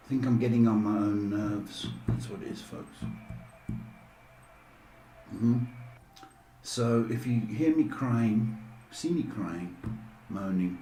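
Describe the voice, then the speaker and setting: A man's voice: on the low side, slow, dark tone, faint music behind. A middle-aged man chatting casually and jokily as a rambling live stream host. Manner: rambling, joking. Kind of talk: casual chat. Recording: echoey room, faint background noise.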